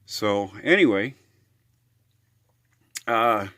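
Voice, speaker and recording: American guy doing a casual how-to vlog, slow and rambly, pretty dry sound. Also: on the low side, animated, fairly bright tone, no room sound. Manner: rambling, hesitant, folksy. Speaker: RV living vlogger.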